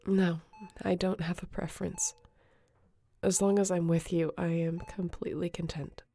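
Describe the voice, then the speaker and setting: A woman acting an exhausted, guilt-ridden, devoted space adventurer in an intimate sci-fi audio roleplay, speaking earnestly and tenderly in halting phrases. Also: fairly low pitch, little pitch movement, creaky, warm tone, husky. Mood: tender, relieved.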